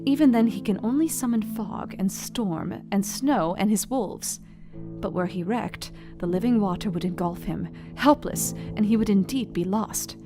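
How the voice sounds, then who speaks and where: An actress playing an earnest young Victorian wife, reading her journal with precise, deliberate diction in a Gothic audio drama. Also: mid-range voice, close to an everyday voice. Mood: analytical, intent. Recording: music behind the voice. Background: instrumental music.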